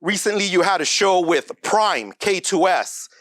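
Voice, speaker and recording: A man's voice: high voice, lively intonation, measured pace, a bit bright, no room sound, clean studio sound. A man interviewing a guest with direct, plain questions.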